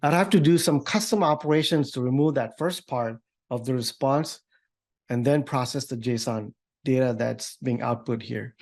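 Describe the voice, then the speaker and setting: A man giving an informal, upbeat software demo walkthrough as a developer advocate. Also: mid-range voice, normal speed. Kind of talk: tech demo walkthrough, semi-scripted.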